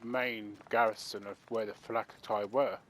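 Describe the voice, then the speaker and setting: A man's voice: on the low side, level tone, moderate pace, fairly bright tone, a little hiss or hum. A man commenting on his video game playthrough, rambling and chatty. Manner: rambling and chatty, many fillers. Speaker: gaming channel host. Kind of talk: let's play commentary.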